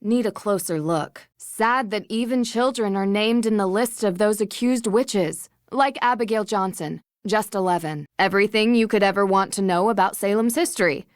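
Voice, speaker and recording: A woman's voice: mid-range voice, normal speed, no room sound, clean studio sound, natural voice. A woman playing an inquisitive, observant young detective in a video game. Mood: musing, curious. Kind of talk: game voice acting, musing observations.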